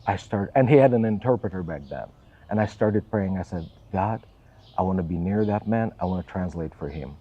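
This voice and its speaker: Russian-accented man, earnest and low. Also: fairly quick, breathy edge, lo-fi sound. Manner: earnest, self-deprecating, careful English. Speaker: church assistant and pastor. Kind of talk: interview answers.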